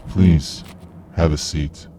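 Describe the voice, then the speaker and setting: Very deep, smug male villain, acted by a man. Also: fairly even intonation, slow, mellow, a little hiss or hum. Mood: smug menace. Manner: polite, condescending, menacing. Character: calm, masked male mastermind villain, smugly controlling. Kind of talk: radio play acting.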